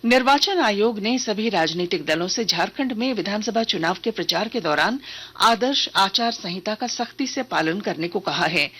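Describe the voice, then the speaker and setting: A woman reading a scripted radio news bulletin in a formal, measured manner. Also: mid-range voice, a bit bright, husky. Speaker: news reader.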